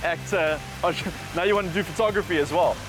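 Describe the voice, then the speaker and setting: A man's voice: fairly high pitch, low-quality recording. A man hosting, chatting in a matey way outdoors by a waterfall. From South Africa. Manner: brisk, matey, says bro and dude. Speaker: host. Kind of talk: casual chat. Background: background music.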